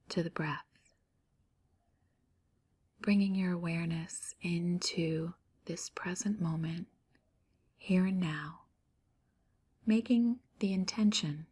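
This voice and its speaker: A woman leading a guided meditation, her voice soft and breathy, almost a whisper. She speaks very slowly and calmly, leaving gentle pauses between phrases, in a slightly low register. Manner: slow, calm, gentle pauses.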